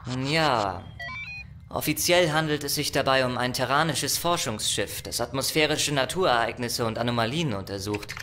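Bright, level-headed starship technician played by a man. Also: mid-range voice, moderate pace, slight background noise, lightly acted. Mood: focused. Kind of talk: sci-fi audio drama acting.

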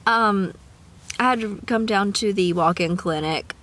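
A woman's voice: mid-range voice, unhurried, a bit bright, a little hiss or hum. A young woman with a cough, chatting casually to the camera from a parked car, rambling with sing-song asides. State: sick with a cough. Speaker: vlogger. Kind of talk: casual chat. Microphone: camera at arm's length.

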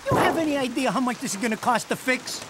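A man's voice: very high voice, fast, noisy background. A man playing a panicky, bellyaching, foul-mouthed small-time crook in a video game cutscene. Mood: exasperated. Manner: bellyaching, exasperated.

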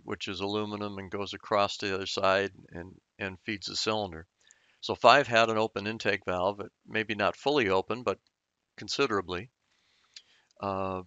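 A man's voice: fairly low pitch, bright, forceful tone, clean tone, no room sound, highs cut off. A man explaining engine diagrams in an unscripted voice-over, thoughtful and hesitant with many fillers and restarts. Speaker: channel host explaining engine repair.

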